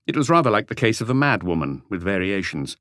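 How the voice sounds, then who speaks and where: A man's voice: on the low side, big pitch swings, fast, a bit bright, dry recording, clean studio sound. A man reading scripted narration as the narrator of a mystery audiobook.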